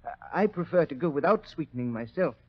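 High-voiced, meek, fussy man. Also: lively intonation, quick pace. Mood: flustered, meek. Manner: dithering, hesitant, apologetic. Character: meek, fussy, flustered brother of poisoning victim. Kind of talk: 1940s radio acting. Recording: muffled old-time radio recording.

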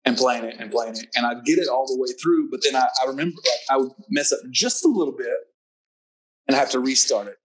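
A man's voice: medium pitch, fast talker, breathy edge, rough recording. A man in his thirties chatting as a guest musician over a video call from a home room, easygoing and self-deprecating, stumbling and restarting his sentences. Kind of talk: casual chat on a video call.

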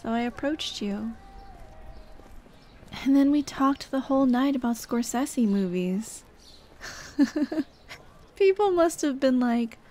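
A young woman voicing a dead girlfriend's caring, gently nagging ghost in an ASMR roleplay drama, tender and coaxing. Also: high-pitched. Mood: fondly nostalgic. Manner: tender, coaxing, soft-hearted scolding. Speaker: roleplay voice actress.

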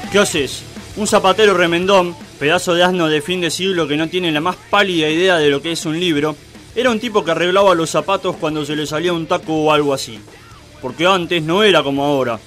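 A man's voice: on the high side, fairly bright tone, natural voice. A man voicing an irascible professor who rants and scolds crudely in a comic radio play. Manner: ranting, insulting.

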